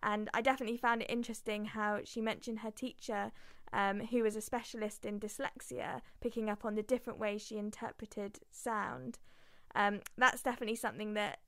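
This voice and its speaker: A woman's voice: medium pitch, fairly quick, a bit bright, no echo. A young woman hosting a student radio show, talking casually and laughing through technical problems.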